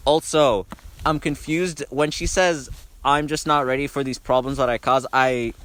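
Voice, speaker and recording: Clear-voiced young man rambling casually. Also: mid-range voice, fairly bright tone. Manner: blunt, informal, swears freely. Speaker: video host. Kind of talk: casual rambling talk about songs.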